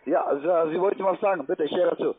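High-pitched man on a tinny phone line. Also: quick pace. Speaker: call center agent. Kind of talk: telephone cold call. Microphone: phone call.

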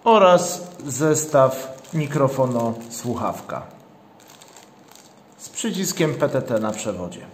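A man presenting a product step by step in a measured, matter-of-fact way with halting phrases. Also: mid-range voice, big pitch swings, a little hiss or hum. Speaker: product reviewer, channel host. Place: indoors, tabletop product shoot. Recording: roomy indoor echo.

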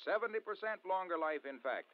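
An actor playing an eager, boastful pitchman in a vintage radio commercial, with brisk, emphatic patter. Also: animated. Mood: upbeat selling. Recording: muffled old radio recording.

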